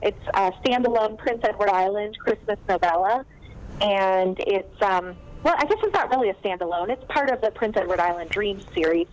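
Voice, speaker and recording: A woman's voice: mid-range voice, moderate pace, darkish tone, poor audio. A woman novelist chatting in an interview, talking loosely with many fillers and restarts. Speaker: novelist guest. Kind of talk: casual interview chat.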